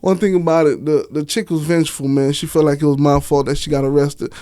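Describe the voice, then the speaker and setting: A man with a notably high voice talks as a guest in a casual interview. He tells his story candidly in slangy, profane speech, often circling back to repeat a phrase. The sound is dry and close, with no room echo. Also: flat delivery, quick pace, dark tone. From Miami, United States. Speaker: interview guest, author and stage play director.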